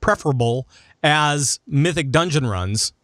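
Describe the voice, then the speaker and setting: A man's voice: fairly high pitch, animated, a little slow, fairly bright tone, no echo, clean studio sound. A man hosting a gaming podcast in casual, conversational chat, steering the discussion with questions to the others. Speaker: podcast host.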